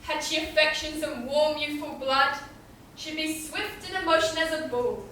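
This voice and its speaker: A woman's voice: high-pitched, moderate pace, bright, forceful tone. A woman playing an impatient, lovestruck teenage girl on stage, pleading theatrically in Shakespearean style. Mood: impatient, brooding. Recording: echoey hall, distant mic, faint noise.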